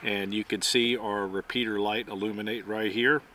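A man's voice: lowish voice, fairly even intonation, normal speed, heavy background noise. A middle-aged man giving methodical, plainspoken how-to instruction outdoors as he demonstrates gear. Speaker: host and demonstrator.

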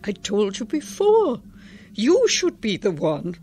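An actress playing a devoted elderly wife in a radio drama, gentle and patient in her delivery. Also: mid-range voice, huge pitch swings, slow pace, heavily acted. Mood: tender. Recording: radio drama with music underneath.

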